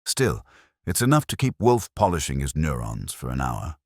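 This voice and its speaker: A man's voice: very deep voice, wildly swooping pitch, moderate pace, dry recording, exaggerated voice. A man playing a wry, tough detective's assistant who narrates a mystery story in a dry, wisecracking manner. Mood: wry, probing. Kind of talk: first-person detective audiobook narration.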